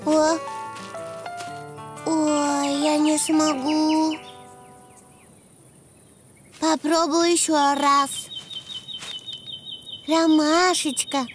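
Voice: a bit bright, exaggerated voice. An actor voicing a cheerful, eager little boy donkey with a childlike voice in a preschool cartoon. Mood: shy, admiring. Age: young child character. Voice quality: high, childlike. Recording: music behind the voice.

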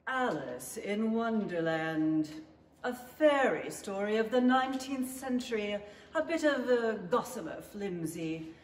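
Woman as slow, measured radio play narrator. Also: medium pitch, lively intonation, close to an everyday voice. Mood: measured, wistful. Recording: roomy stage from far off, faint noise.

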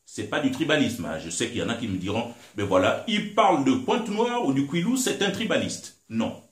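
A man's voice: medium pitch, very animated, a little fast. A middle-aged man from Congo, talking indignantly and emphatically as an interview guest in a living room. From Republic of the Congo. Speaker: guest, political commentator. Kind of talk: impassioned interview talk. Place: living room, seated in armchair. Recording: roomy echo.

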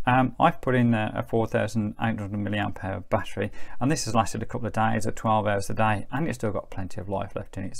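Low, creaky-voiced middle-aged man reviewing gadgets. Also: slight background noise. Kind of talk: casual product review.

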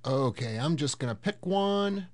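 A man hosting a casual software tutorial, explaining things step by step in an unhurried way. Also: on the high side, expressive. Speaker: host of a tech tutorial series.

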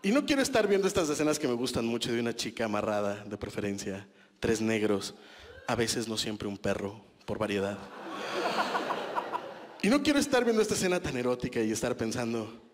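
A man's voice: mid-range voice, big pitch swings, fairly quick, in a large hall. A Mexican man in his thirties doing a stand-up comedy set on a small club stage, speaking into a handheld mic in a conversational, self-mocking way with crude slang. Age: 30s.

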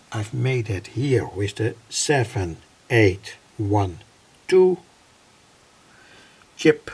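Man, husky and gravelly, halting delivery, informal technical explainer, deliberate pauses. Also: medium pitch, darkish tone. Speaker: electronics hobbyist presenter.

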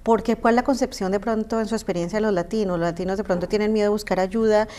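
A woman in her forties hosting a community TV talk show, interviewing guests in a small studio with brisk, encouraging questions. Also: medium pitch, steady tone, dark tone, a little hiss or hum. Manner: brisk, encouraging, many affirming ujús. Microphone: lapel mic.

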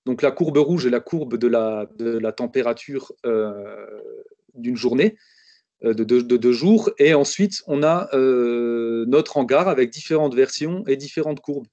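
A man's voice: mid-range voice, a little slow, mellow, no room sound, muffled recording. A man, an architect, presenting a building study in an online webinar, hesitant with many fillers and restarts.

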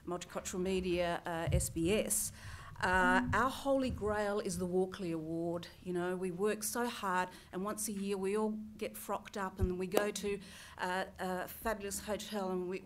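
A woman's voice: mid-range voice, slight background noise. A woman in her fifties, a journalist from Greece, giving an earnest personal talk at a conference lectern with wry asides, through a headset mic in a large hall.

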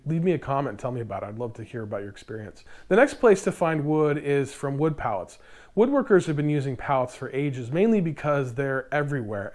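An American man in his thirties presenting explanatory DIY tips to camera, recorded indoors on a lapel mic. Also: fairly high pitch. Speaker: host of a DIY woodworking channel. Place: indoor workshop in front of lumber racks.

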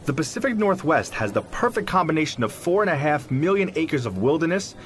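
A man's voice: fairly high pitch, very animated, brisk, slight background noise. A man playing an articulate, self-assured zoologist explaining his field in an audio drama interview, in an emphatic, professorial manner. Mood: confident, explanatory. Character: articulate, self-assured male zoologist who believes in Sasquatch. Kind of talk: audio drama interview, scripted but conversational.

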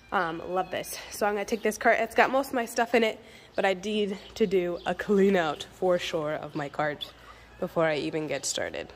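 A woman's voice: lowish voice, slight background noise. Chatty young woman with a head cold. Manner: chatty, rambling with many ums. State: getting over a head cold, deeper voice than usual. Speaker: homesteading vlogger. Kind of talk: casual chat to camera. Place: farm buildings, metal shed and barn. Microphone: phone held at arm's length.

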